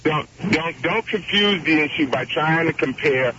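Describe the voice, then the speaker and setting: Deep voiced guy calling into a radio show, earnest, talks a lot, dull sound w/ steady hiss. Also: measured pace. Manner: earnest, wordy, interrupts with repeated "wait a minute". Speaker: caller. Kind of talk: radio call-in chat.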